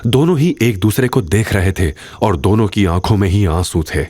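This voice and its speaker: A man's voice: fairly low pitch, expressive, moderate pace, a little mellow, breathy edge, no room sound. A man giving dramatic scripted narration as the storyteller of an audio drama.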